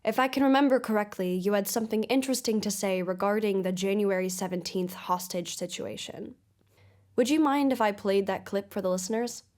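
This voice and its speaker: Woman acting as investigative podcast host, medium pitch, polished, inquisitive, formal, audio drama. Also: a little fast, a little mellow, natural voice. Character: probing female investigative mystery podcast host. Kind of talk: audio-drama broadcast interview and narration.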